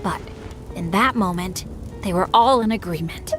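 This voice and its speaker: A woman's voice: fairly low pitch, moderate pace, over music, close to an everyday voice. A woman voicing an earnest, good-natured teenage boy adventurer in an anime-style game, chatty and a little bashful. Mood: bashful, upbeat.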